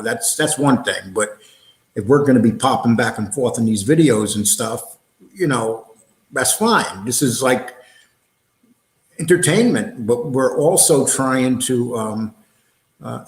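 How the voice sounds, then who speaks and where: A man hosting a casual livestream, talking in a blunt, combative, streetwise way. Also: mid-range voice. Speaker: show host. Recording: roomy, echoey sound.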